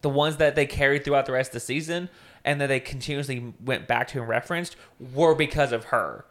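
A man's voice: mid-range voice, brisk. A man co-hosting a casual podcast, chatting and teasing his co-host while reviewing a TV comedy. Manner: chatty, teasing, lively intonation. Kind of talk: casual chat reviewing a TV show.

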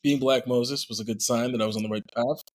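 A man's voice: mid-range voice, fairly even intonation, fast, a little hiss or hum. Easygoing male guest from Montreal. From Montreal, Quebec, Canada. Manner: easygoing, rambling, with "like" and "um" fillers. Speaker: guest actor. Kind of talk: casual interview chat.

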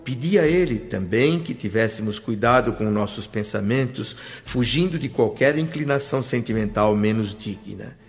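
A man narrating a radio drama in a solemn, measured way. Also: mid-range voice, expressive. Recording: dull lo-fi radio recording with music.

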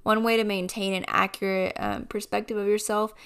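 A woman hosting a casual devotional podcast, her voice a bit raspy as she gets over a cold, speaking in an earnest, reflective way. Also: mid-range voice, flat delivery, fairly quick, a bit bright. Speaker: podcast host.

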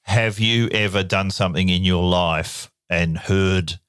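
A man's voice: low-pitched, fairly even intonation, a bit bright, smooth, no room sound. A middle-aged man telling stories in a casual podcast chat. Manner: chatty storyteller, quick jokes. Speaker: podcast co-host. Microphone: studio mic.

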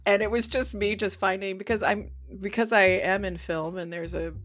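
A woman's voice: mid-range voice, steady tone, moderate pace, a bit bright. A woman speaking casually and candidly as an interview guest, with a self-deprecating manner. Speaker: interview guest, audio drama writer and producer.

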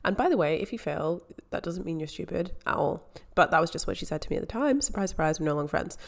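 A woman's voice: lowish voice, animated, fast talker, creaky. Chatty Scottish woman podcast host. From Scotland. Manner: chatty and candid, self-deprecating asides. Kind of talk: casual solo podcast monologue.

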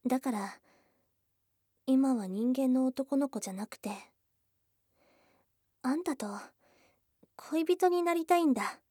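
A woman voicing a shy, tomboyish young goblin girl in an intimate ASMR voice drama, soft and breathy, often dropping to a whisper, close to the microphone. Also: high voice, takes it slowly, long pauses, no room sound, exaggerated voice. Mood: tender, earnest. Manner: blunt tomboyish speech, tender and hesitant. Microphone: close, dry studio microphone.

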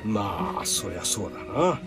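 A man's voice: lowish voice, big pitch swings, measured pace, exaggerated voice. Man acting a wry, resigned detective over music. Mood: doubtful. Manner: gruff casual speech, wry and resigned. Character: weary male detective who doubles as a spirit medium. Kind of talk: hardboiled-style audio drama acting.

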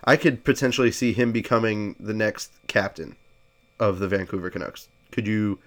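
A man's voice: lowish voice, moderate pace. A man co-hosting a casual sports podcast, congested and stuffy, rambling with many fillers and restarts. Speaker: podcast co-host.

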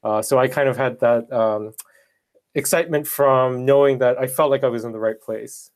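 Young man from South Korea, twenties, mid-pitched, measured and reflective. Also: steady tone, darkish tone. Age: 20s. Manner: measured, with fillers. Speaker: guest. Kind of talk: casual conversation.

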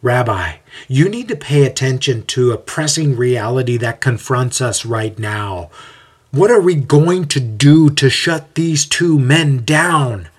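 A male narrator acts an anxious young disciple of a rabbi in an audiobook story. He is exasperated and insistent, pressing his point urgently in a slightly husky voice. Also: mid-range voice, lively intonation, slow pace.

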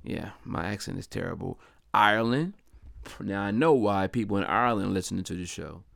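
Gravelly upbeat man hosting a podcast. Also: fairly low pitch, a little fast, dry recording. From Delaware. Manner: upbeat, chatty, slangy shout-outs. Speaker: podcast host. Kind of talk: casual solo podcast monologue.

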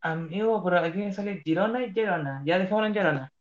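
A man's voice: sits high, fast. A young Chilean man playing an interviewer, who rambles and searches for words. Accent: Chilean Spanish. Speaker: mock interviewer. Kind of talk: casual role-played interview. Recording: muffled, dull sound.

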